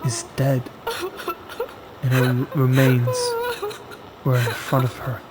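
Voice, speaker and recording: A man reading a scripted horror story as a storyteller, with deliberate, dramatic phrasing and frequent pauses. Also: medium pitch, flat delivery, heavy background noise.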